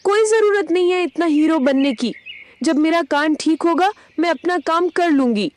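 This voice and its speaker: Young female cartoon bird, played by a woman: high voice, cheeky, lazy, sly troublemaker. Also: normal speed. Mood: insolent. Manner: insolent, scheming. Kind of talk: Hindi children's cartoon dub.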